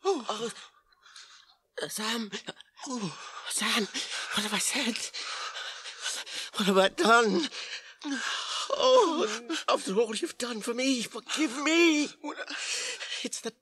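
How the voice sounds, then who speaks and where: A man with an English accent playing a weary, gentle, burdened hobbit ring-bearer in a radio drama, halting and tremulous in his speech. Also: very high-pitched, lively intonation, slightly rough, exaggerated voice. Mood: anguished remorse. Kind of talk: British radio drama acting. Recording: noisy archive radio drama recording.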